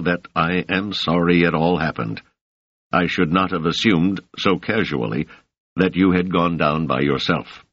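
A man's voice: low-pitched, a bit bright, husky, no room sound, heavily acted. A man voicing an awkward, stiff male academic. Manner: halting, formal apology. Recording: dull, dry, lo-fi sound.

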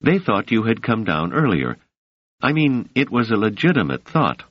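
A man's voice: very animated, moderate pace, no echo. A man voicing an earnest, protective woman. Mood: insistent. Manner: higher, softened voice for a woman. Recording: dull, dry, lo-fi sound.

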